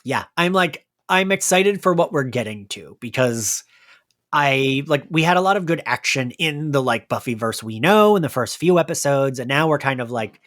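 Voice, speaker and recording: A man hosts a relaxed pop-culture podcast with a very lively, swinging intonation. His talk is informal, with casual swearing, and the recording is dry and close. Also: medium pitch, moderate pace, no echo. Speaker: podcast host.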